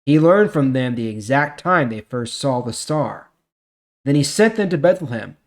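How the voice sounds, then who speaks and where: A man with a medium-pitched voice hosts a Bible reading series. He reads scripture and explains it in plain, informal terms, leaning on key words as he goes. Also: lively intonation, moderate pace. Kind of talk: scripture reading with casual commentary.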